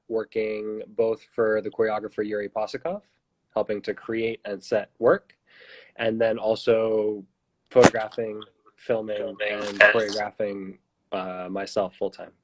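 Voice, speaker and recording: A relaxed man in his thirties answers interview questions over a phone on a video call. He speaks plainly and matter-of-factly, with a few ums, in a somewhat low voice. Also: measured pace, rough recording. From United States. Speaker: guest filmmaker.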